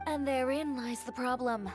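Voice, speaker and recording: Actress as a cool, blunt young seamstress. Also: female voice, sits high, fairly even intonation, lightly acted. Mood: firm, dry. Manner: brisk, matter-of-fact, self-assured. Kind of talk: video game voice acting. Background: game music.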